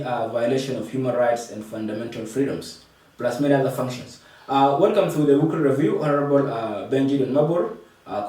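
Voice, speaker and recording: A man with a South Sudanese accent hosting a formal interview, speaking in a halting, measured way. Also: mid-range voice, mellow. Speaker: host and producer. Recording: very echoey room, far from mic.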